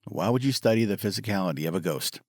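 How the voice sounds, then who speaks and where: A man's voice: low voice, expressive, quick pace, darkish tone, dry recording, studio quality. An actor playing a nervous, grumbling man in his late thirties, a skittish and sardonic sidekick in an audio drama. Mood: sardonic, reluctant. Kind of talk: audio drama dialogue acting.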